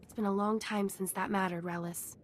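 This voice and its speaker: An actress voicing a weary, resigned settler in a video game. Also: female voice, mid-range voice, fairly even intonation, brisk, natural voice. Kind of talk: video game dialogue acting.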